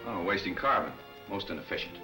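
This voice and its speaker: An actor playing a suave, flippant young newspaper boss in a brisk, clipped 1940s serial style. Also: male voice, low-pitched, expressive. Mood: flippant, dismissive. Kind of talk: 1940s film serial acting. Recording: old film soundtrack, faint music behind.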